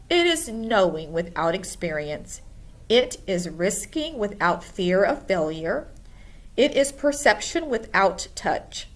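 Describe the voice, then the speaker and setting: Storybook schoolteacher, played by a woman: stern, no-nonsense, emphatic, very measured pace. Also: medium pitch. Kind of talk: storybook character voice.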